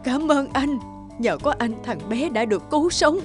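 An actress playing an emotional, grateful middle-aged mother in a cartoon dub, speaking in an earnest, expressive way. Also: high-pitched, normal speed. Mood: moved, grateful. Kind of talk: animated film dubbing. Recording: loud background music. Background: music.

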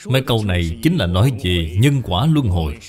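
A man voicing a solemn, knowing Buddhist mentor in a moral cartoon, speaking in a measured, instructive way. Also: low-pitched, big pitch swings, pitched below the performer's own voice. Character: solemn, knowing Buddhist senior brother teaching karma. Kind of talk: dubbed moral cartoon dialogue.

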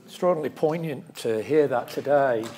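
A middle-aged man interviewing on stage in a deliberate, emphatic way. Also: medium pitch, very noisy. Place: large lecture theatre stage.

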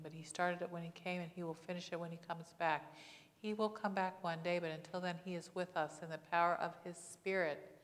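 A middle-aged woman pastor preaching in a church on a lapel mic, conversational, with frequent rhetorical questions. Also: lowish voice. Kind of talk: sermon. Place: church sanctuary. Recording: long church reverb.

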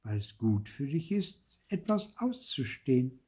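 A sweet fairy sister from a children's storybook, voiced by a man. She chides gently and calmly in a mellow, slightly husky tone that rises and falls expressively. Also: medium pitch, a little slow, pitched above the performer's own voice. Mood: gently chiding. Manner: calm, gently admonishing. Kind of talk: dramatised children's storybook reading.

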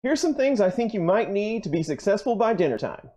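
A young man hosting a channel, briskly running through a list of tools in a scripted, upbeat way with dry jokes, recorded in a basement workshop. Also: sits high, very animated, a little mellow. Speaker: channel host. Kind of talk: scripted tool rundown.

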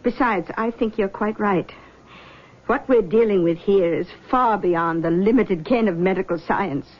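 A woman's voice: mid-range voice, a little slow, close to an everyday voice. An actress playing a possessive, manipulative middle-aged mother in a 1970s radio drama, soothing and controlling. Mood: persuasive. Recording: dull lo-fi old radio broadcast.

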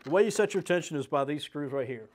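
A middle-aged man with an American accent walks through a product step by step. His voice sits a little higher than most men's. He sounds like a practical, plain-spoken sales rep giving instructions. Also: animated, fast talker, a little mellow, slight background noise. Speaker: sales representative presenting a product demonstration. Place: small indoor studio set with desk and shelves.

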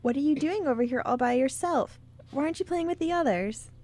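Woman playing a confident kid pal in a radio play, encouraging, highish voice. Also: brisk, warm tone. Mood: friendly, curious. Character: confident, encouraging young girl playmate. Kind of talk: amateur radio play acting.

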